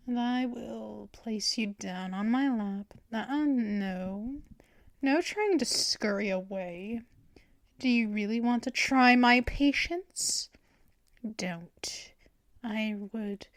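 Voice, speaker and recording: A woman voicing a possessive female vampire mistress in an ASMR roleplay, talking in soft, teasing whispers up close to the mic. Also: on the high side, dry recording. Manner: slow, drawn-out delivery.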